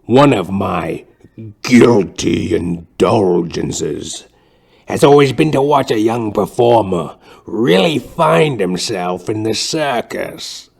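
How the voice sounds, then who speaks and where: A man acts out an old woman, once a circus clown and now a theatrical schoolteacher. She cajoles in grand, drawn-out phrases that swoop up and down. It is played as broad audio comedy, slow and savoured. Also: lowish voice, expressive, creaky, darkish tone, slightly rough, full character voice. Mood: cajoling, lustful. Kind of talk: comic audio play acting.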